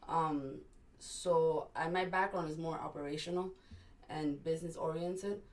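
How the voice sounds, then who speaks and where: A woman's voice: sits low, fairly even intonation, a little slow. A young woman from Miami, a business co-founder, chatting as a guest on a casual podcast in a university library. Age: young adult. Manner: chatty, finishes her partner's sentences. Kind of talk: casual interview. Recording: distant mic with faint room noise.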